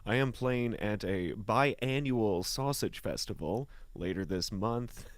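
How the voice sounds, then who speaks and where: A man with a fairly low voice chats as a guest in a close, dry recording. He is laid-back and colloquial, telling personal stories in loose pieces and pausing mid-thought. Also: dry recording. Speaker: guest musician and voice talent. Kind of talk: casual chat, personal anecdotes.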